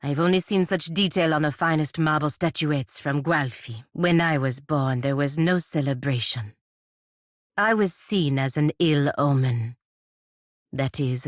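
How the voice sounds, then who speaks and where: A woman's voice: low voice, unhurried, no echo, clean studio sound. A woman playing a proud, bitter, honor-bound godlike warrior in a fantasy video game, with stern, clipped, formal phrasing. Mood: subdued, guarded.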